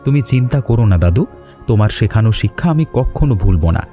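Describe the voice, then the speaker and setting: An earnest young man from an animated story, voiced by a man. His tone is soft-edged and dark, with emphatic, devoted delivery and light music behind. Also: on the low side, brisk, close to an everyday voice.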